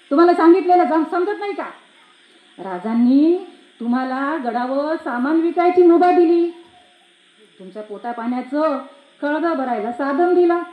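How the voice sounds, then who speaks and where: A woman's voice: high voice, highs cut off. A woman voicing a stern, unyielding male gatekeeper in a stage play, declamatory and scolding, with a Maharashtrian accent. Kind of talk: theatrical one-act play. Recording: echoey room.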